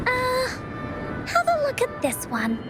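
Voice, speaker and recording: A tiny sweet excitable kid from a video game, super high voice, music playing behind. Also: female voice, takes it slowly, exaggerated voice. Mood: delighted, playful. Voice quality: childlike, sweet. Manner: excitable, gushing, with gasps and giggles. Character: cheerful, earnest little girl who adores her friends. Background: game background music.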